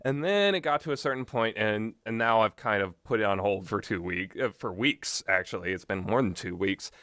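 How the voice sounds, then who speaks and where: A man's voice: on the low side, normal speed, fairly bright tone, no room sound, clean studio sound. A man giving casual, rambling commentary with strong opinions and an emphatic way of talking. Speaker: video host.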